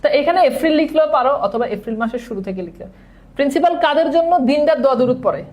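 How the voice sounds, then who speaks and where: A man's voice: extremely high pitch, animated, racing, lo-fi sound. A male teacher with a Bangladeshi accent giving a conversational exam-prep lesson, explaining and rephrasing as he goes. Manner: explanatory, repeats and glosses phrases.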